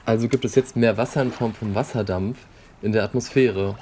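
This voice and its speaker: A man's voice: lowish voice, steady tone, fairly quick, warm tone, slight background noise, natural voice. A man playing a riverside resident, explaining in an earnest way. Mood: plain. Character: man living by a flood-prone river.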